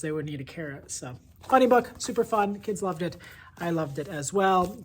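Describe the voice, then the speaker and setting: A woman's voice: fairly low pitch, husky. A woman presenting casual book reviews in an effusive, conversational, enthusiastic way.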